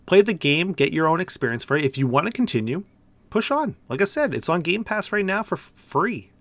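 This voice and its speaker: A man's voice: fairly high pitch, lively intonation, fast talker, fairly bright tone, dry recording. A man co-hosting a gaming podcast, talking informally and enthusiastically in a casual discussion. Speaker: podcast co-host.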